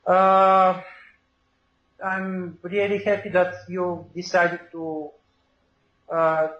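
A man with a Bulgarian accent hosting a podcast interview, polite and hesitant, with many fillers. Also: fairly high pitch. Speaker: podcast host. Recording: roomy, dull audio with faint music.

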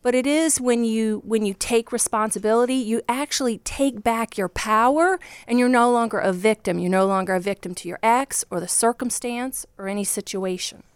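A woman's voice: fairly high pitch, moderate pace, few pauses, clear, resonant tone, no room sound. Earnest Virginia-accented woman divorce coach. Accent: Virginia Southern. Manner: earnest, passionate, speaks in long run-on explanations. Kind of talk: podcast guest discussion.

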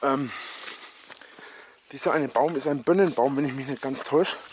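A man narrating a walking vlog outdoors in a forest, out of breath, rambling with many halting fillers, filmed on a handheld camera. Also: medium pitch, slight background noise. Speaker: vlogger.

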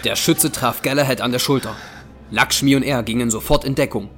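A man's voice: mid-range voice, brisk. A man narrating an audio drama from a script.

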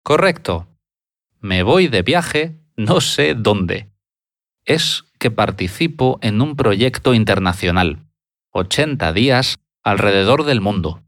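A man speaking in a language lesson, answering questions by repeating them in full, deliberate sentences. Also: on the low side, animated, a bit bright, no room sound, studio quality. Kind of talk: slow, deliberate lesson dialogue.

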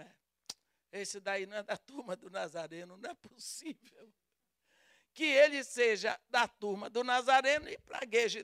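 Slow, smooth Brazilian woman preaching. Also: a little high, a bit bright, no room sound, clean studio sound. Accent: Brazilian Portuguese. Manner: dramatic storytelling, acting out quoted lines. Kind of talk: sermon.

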